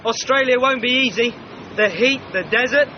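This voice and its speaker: An actor playing a loyal, practical manservant in a radio drama. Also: male voice, very high-pitched, normal speed. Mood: doubtful. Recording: dull sound with faint noise.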